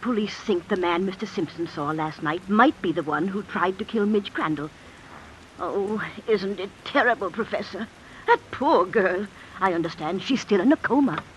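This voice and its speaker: A woman acting a housekeeper in an old-time radio play. She is courteous and confiding, with a middling pitch. The recording has faint noise. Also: expressive, moderate pace, lightly acted, low-quality recording. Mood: concerned. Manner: polite, concerned. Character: polite, gossipy female housekeeper. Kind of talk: 1950s radio drama acting.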